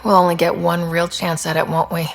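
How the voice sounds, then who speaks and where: An actress playing a steady young space expedition leader in a video game, talking in a measured, earnest way. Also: female voice. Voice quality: low, slightly gravelly.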